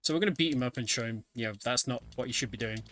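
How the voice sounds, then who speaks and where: A man's voice: mid-range voice, racing, a bit bright. A man giving casual live speedrun commentary in a chatty, hesitant way. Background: video game music.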